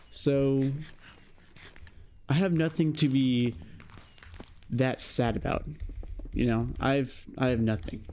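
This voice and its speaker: A man's voice: medium pitch, a little mellow, slight background noise. Rambling young man, faint keyboard clicks. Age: young adult. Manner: rambling, reflective, many fillers and "you know". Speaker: vlogger. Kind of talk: casual monologue to camera.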